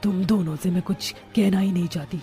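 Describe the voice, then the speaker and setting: A woman's voice: lowish voice, steady tone, fairly quick, mellow, natural voice. A woman voicing a scolding middle-aged witch mother in a cartoon story, with theatrical delivery. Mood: remorseful. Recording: music behind the voice.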